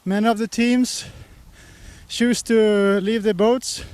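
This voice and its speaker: A man, reporting live outdoors with a handheld mic while walking up a hill, halting with many pauses. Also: high-pitched, steady tone, a little mellow, smooth, no echo. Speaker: trackside race reporter. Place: outdoors on a grassy hillside. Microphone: handheld microphone.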